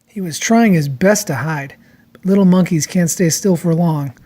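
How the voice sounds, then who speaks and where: A storyteller reading a children's book aloud in an expressive, dramatic way. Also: mid-range voice, moderate pace. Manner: expressive, acts out character lines.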